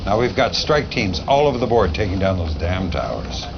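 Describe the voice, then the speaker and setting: An actor playing a blunt, earnest and gruff military general briefing a commander in a video game cutscene. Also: male voice, low-pitched, sing-song, moderate pace. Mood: confident, brisk. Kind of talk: dramatic live-action game cutscene acting. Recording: dull lo-fi audio with clear noise.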